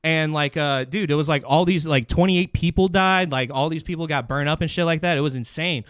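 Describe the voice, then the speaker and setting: A man talks in a casual, joking podcast style, swearing freely as he rambles. His voice is fairly high for a man, clean and smooth, recorded very dry and close. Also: brisk, fairly bright tone, no room sound. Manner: profane, rambling, jokey bro-speak. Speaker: podcast host. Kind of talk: casual comedic chat.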